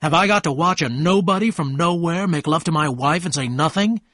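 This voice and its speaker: A man voicing a loud, accusing, jealous husband in a story reading, with a bad temper and a domineering manner. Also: fairly high pitch, big pitch swings, unhurried, no room sound, clean studio sound, heavily acted. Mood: angry, shouting.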